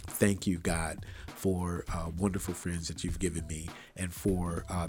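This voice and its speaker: A man hosting a faith-tinged motivational podcast, earnest and preachy, repeating phrases for emphasis, recorded in an untreated room. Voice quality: bassy voice. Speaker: podcast host. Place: untreated room with wires, not acoustically treated.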